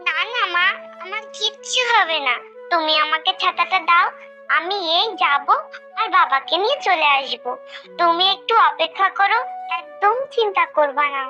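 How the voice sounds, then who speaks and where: Lady doing a little village girl for a cartoon, super high and earnest, pleading, bit of music behind. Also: moderate pace, bright, forceful tone, muffled recording. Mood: reassuring. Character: earnest, reassuring young village girl, devoted daughter. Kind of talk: Bengali cartoon voice acting.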